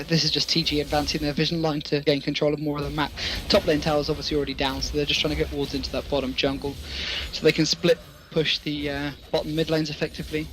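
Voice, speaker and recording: A man's voice: on the high side, fairly bright tone, low-quality recording. A man giving live esports commentary, talking through the play conversationally and posing rhetorical questions.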